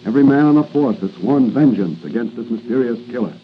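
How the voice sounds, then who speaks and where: A man's voice: mid-range voice, lively intonation, quick pace, natural voice. An American man announcing and narrating with dramatic, scripted 1940s radio delivery. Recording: muffled, noisy 1940s radio recording.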